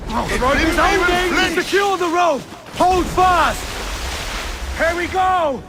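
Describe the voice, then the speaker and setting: An actor playing a hearty, commanding sailor in a video game, shouting orders and cheers on a whaling boat. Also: male voice, extremely high pitch, expressive, slow, exaggerated voice. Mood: urgent. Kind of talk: video game character barks. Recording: loud sea and boat noise. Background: ocean and boat ambience.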